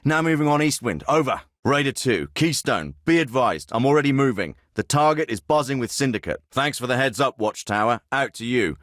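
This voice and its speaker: A man's voice: mid-range voice, animated, fairly quick, hardly any pauses, a bit bright, dry recording, close to an everyday voice. A man voicing a dry-witted special forces officer in a video game, giving orders and briefings in clipped military radio talk. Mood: hushed.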